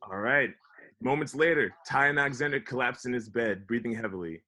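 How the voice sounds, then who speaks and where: A man reads screenplay stage directions as a narrator at a table read. His pitch sits in a middle range, and the delivery is scripted narration. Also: brisk, pushed and bright. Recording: online video call table read.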